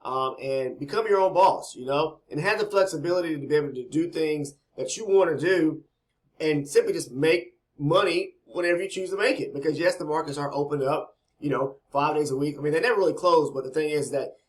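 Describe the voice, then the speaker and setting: Man, slightly raspy, fairly high voice, rambling casual explainer, repeats himself, keeps asking "okay?". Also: fairly quick. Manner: rambling, repeats words, says "okay?" often. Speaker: trading educator. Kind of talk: casual talking-head explainer.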